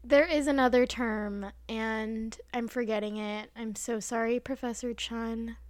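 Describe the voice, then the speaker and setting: American woman, young co-host, bright-leaning pitch, chatty self-deprecating, dry recording. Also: steady tone, measured pace, no echo. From United States. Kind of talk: casual chat.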